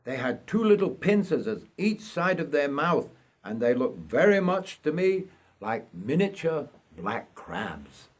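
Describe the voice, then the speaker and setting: Older male narrator from northern England: unhurried, husky, animated intonation for dramatic autobiographical storytelling. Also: fairly high pitch. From North Yorkshire, England. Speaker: writer reading own story.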